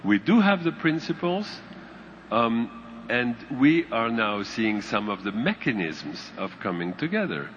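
A man giving formal, measured remarks on a conference panel. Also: mid-range voice, sing-song, a bit bright. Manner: measured, formal, occasional ums. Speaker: panel speaker. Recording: dull muffled sound, faint noise.

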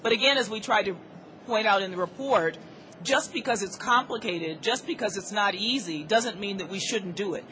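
A woman answering a question on a panel, measured and thoughtful, with frequent pauses and restarts. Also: mid-range voice, fairly bright tone, slight background noise, low-quality recording.

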